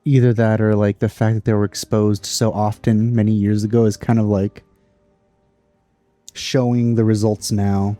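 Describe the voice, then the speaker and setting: Dark-voiced man reacting loudly to a game. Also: fairly low pitch, flat delivery, normal speed, no echo, faint music behind. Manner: loud, incredulous reactions. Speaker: let's play host. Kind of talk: casual gameplay commentary.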